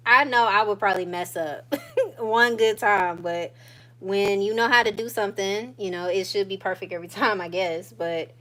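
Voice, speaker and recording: A woman in her thirties chatting casually to her viewers, relaxed and talkative, often laughing and stretching out her phrases. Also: mid-range voice. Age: 30s. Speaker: vlogger. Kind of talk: casual catch-up chat to viewers.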